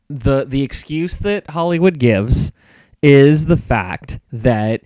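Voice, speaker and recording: Male, American, podcast film reviewer. Average pitch, dry sound, opinionated and explanatory, conversational. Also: mellow, dry recording. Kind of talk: casual podcast discussion.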